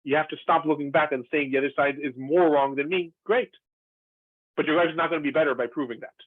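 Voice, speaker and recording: A man's voice: on the high side, fast, dry recording. A man from Israel, a former lecturer, discussing at length in a thoughtful way with many fillers and restarts over a video call. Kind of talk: earnest discussion. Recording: tinny, dry call audio.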